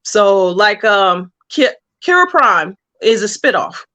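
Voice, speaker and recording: A woman's voice: medium pitch, sing-song, slow pace, bright, forceful tone. A woman speaking casually as an interview guest, explaining plans for a story as a comic book writer.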